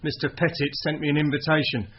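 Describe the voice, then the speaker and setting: A man's voice: fairly high pitch, flat delivery, fast, slight background noise, close to an everyday voice. An actor playing a tough young British undercover policeman in a radio drama. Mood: matter-of-fact. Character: touchy, tough young undercover special branch man. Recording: muffled, with music behind.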